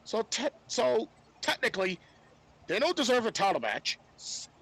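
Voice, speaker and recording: A man talking casually as a podcast panelist, halting and repeating himself as he goes. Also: sits high, very animated, a bit bright. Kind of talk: casual sports chat.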